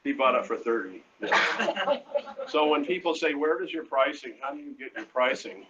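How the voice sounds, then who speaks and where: An older man with a deep voice telling rambling, halting anecdotes in a casual interview, often quoting conversations. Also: lively intonation. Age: 60s or older. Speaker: artist being interviewed. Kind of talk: casual storytelling at a live event. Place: hotel event space. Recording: muffled lo-fi live stream, noisy room.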